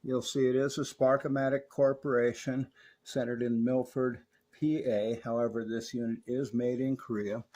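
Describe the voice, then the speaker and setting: A man's voice: medium pitch, level tone, moderate pace, warm tone. A middle-aged man in a casual, chatty hands-on review, folksy and enthusiastic about old equipment. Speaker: radio repair reviewer.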